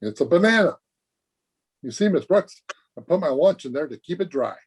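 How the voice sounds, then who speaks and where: An actor playing an earnest, guileless teenage high school boy, chatting eagerly in an old-time radio comedy. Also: animated, normal speed, a little mellow, husky. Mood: proud, guileless. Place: remote recording over video call.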